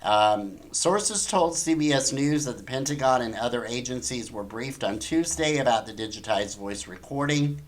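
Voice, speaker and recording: A man's voice: mid-range voice, expressive, normal speed, hardly any pauses, rough recording. Emphatic, incredulous man hosting talk. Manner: incredulous, emphatic, rhetorical questions. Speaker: talk show host. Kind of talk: opinionated news commentary, reading articles aloud.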